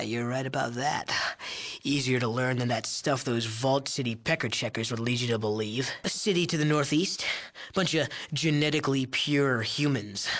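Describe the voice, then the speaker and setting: An actor playing a cocky, lecherous young drug chemist in a video game, with a smug, sneering, streetwise and defensive manner. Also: male voice, mid-range voice, a bit bright. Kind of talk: video game character acting.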